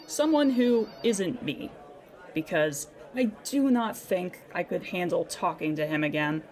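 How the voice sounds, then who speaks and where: Actress as weary female claims agent, medium pitch, dry, sardonic, businesslike. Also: close to an everyday voice. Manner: dry, exasperated asides amid businesslike dictation. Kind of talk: audio drama monologue.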